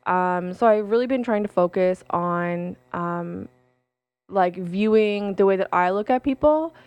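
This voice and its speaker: A young adult woman talks on her own show, mixing read-aloud passages with candid personal reflection. Her voice is smooth and clear. It is recorded close and dry, with no room sound. She fills pauses with ums and corrects herself mid-sentence. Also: mid-range voice, a little mellow, no echo. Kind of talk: casual solo talk with read-aloud passages.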